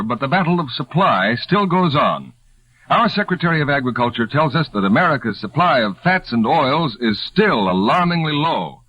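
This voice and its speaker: A man's voice: mid-range voice, breathy edge, no echo. A man as a 1940s radio announcer, reading a scripted appeal in an earnest, urging manner. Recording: dull, lo-fi 1940s radio broadcast.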